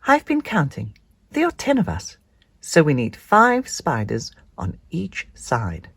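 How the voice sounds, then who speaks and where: Tiny young female spider for a storybook, acted by a narrator: high, childlike, excitable, very animated. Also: slow pace, creaky, slightly rough, no echo, full character voice. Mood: eager. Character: small eager young female spider who loves counting.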